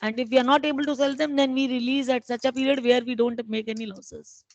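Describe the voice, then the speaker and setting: An Indian-accented woman speaks in a fairly high-pitched voice. She answers investor questions as a company executive, explaining things plainly and matter-of-factly. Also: steady tone, fast talker, lo-fi sound. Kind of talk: investor conference call answers.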